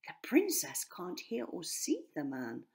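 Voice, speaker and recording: A woman voicing a gentle female jackdaw, a wife and mother, in a children's storybook, with hushed asides. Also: lowish voice, wildly swooping pitch, unhurried, creaky, full character voice. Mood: hushed awe.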